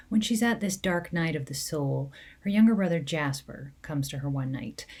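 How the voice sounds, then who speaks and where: A woman's voice: fairly low pitch, a little mellow. A woman novelist talking casually and playfully to readers as she poses a trivia question. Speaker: young adult novelist. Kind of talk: casual talk to camera, posing a trivia question.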